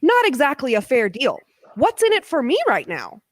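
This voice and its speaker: Radio drama storm villainess, haughty, animated, dry close sound; woman performer. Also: high voice, normal speed, no room sound, heavily acted. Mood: calculating. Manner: theatrical, scornful. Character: haughty female storm supervillain striking bargains. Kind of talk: audio drama acting. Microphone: video call.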